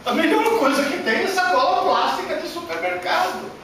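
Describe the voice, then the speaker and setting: A man giving a workshop lecture to an audience, animated and emphatic, with many rhetorical questions, speaking Portuguese as a learned language. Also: very high-pitched, moderate pace, vocal fry. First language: not Portuguese. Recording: echoey hall from far off, noisy.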